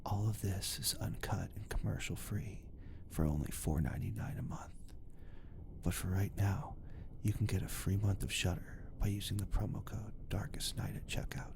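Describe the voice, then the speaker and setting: A man giving a hushed, scripted podcast sponsor read as announcer. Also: deep voice, steady tone, normal speed, vocal fry, in a whisper.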